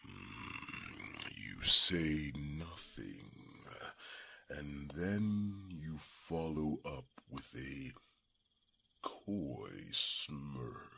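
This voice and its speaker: Courtly dragon, gentle, evasive, heavy gravel, very breathy, fantasy ASMR roleplay. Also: male voice, very animated, full character voice. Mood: curious, teasing. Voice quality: very deep, gravelly, breathy rasp. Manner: formal, slow, deliberate, with thoughtful hums. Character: courtly male dragon, reserved, gently evasive about love.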